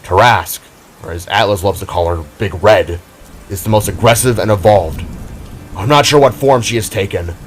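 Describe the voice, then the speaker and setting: A man's voice: lowish voice, moderate pace, breathy edge. A man voicing a stern, knowledgeable older brother, a glowing energy warrior in an audio drama, speaking in a grave, explanatory way. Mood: serious.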